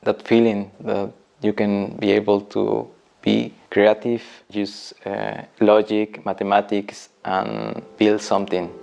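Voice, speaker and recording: A man's voice: lowish voice, monotone, slow, creaky, a little mellow, dull audio. A man with a Mexican accent, a native Spanish speaker and web developer, talking about himself in a documentary interview. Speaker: web developer, bootcamp student.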